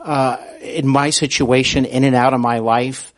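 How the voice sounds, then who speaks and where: Man interviewing, mid-pitched voice. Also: fairly even intonation, measured pace. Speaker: podcast host, interviewer. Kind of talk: conversational interview.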